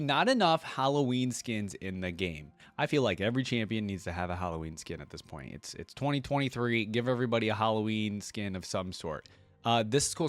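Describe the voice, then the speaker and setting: A man's voice: fairly low pitch, no room sound. Chatty man in a very dry recording. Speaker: reaction video host. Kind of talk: casual reaction commentary.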